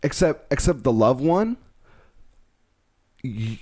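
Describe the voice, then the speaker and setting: A man's voice: mid-range voice, very animated, takes it slowly, darkish tone, no room sound, clean studio sound. A man in his twenties co-hosting a podcast, talking in a rambling, frank way that trails off mid-thought. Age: 20s. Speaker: podcast co-host. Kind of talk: casual chat.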